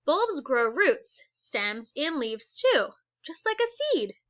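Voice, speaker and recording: Woman teacher, bright and a bit high, reads a lesson aloud slowly and asks kids questions; dry, slightly dull recording. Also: very animated, dry recording. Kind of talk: read-aloud lesson for children.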